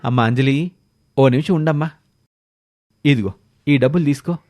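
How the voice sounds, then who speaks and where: A man's voice: mid-range voice, moderate pace, a little mellow, soft background music, lightly acted. A man voicing a kindly, blunt village uncle and farmer with a rustic, fatherly manner.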